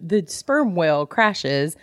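A woman's voice: on the low side, big pitch swings, slow, dry recording, studio quality. A woman co-hosting a book club podcast, casually retelling a plot in a jokey, salty-tongued way. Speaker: podcast co-host.

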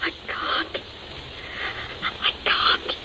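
An actress playing a distraught, gentle young woman, halting and near hysteria, in 1940s radio suspense style. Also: noisy background. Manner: halting, fragile, hysterical edge. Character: gentle, distraught young English daughter under strain. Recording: muffled 1940s radio recording.

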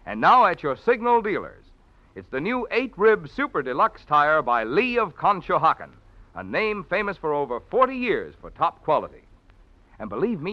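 A man working as a 1940s radio announcer, delivering sponsor messages in a polished, emphatic sales style. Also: on the high side. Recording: dull old radio recording, faint music.

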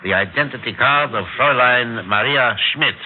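A man's voice: medium pitch, moderate pace, natural voice. An actor playing a stern, formal German policeman in a 1960s radio drama. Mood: stern, official. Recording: muffled old radio, faint noise.

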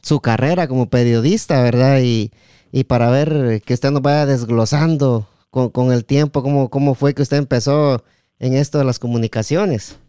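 Man with a smooth, mellow voice. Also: mid-range voice, moderate pace, no echo, clean studio sound. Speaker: podcast host. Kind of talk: conversational interview.

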